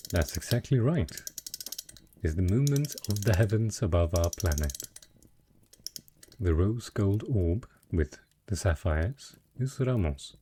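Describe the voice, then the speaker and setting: Man acting a deep, husky, suave merchant lord. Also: mellow, heavily acted. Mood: softly coaxing, then proud, admiring. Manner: courtly, formal, smooth-talking flirtation. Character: wealthy male merchant patron, secretly a dragon, suave and possessive. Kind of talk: romantic fantasy audio roleplay addressed to the listener.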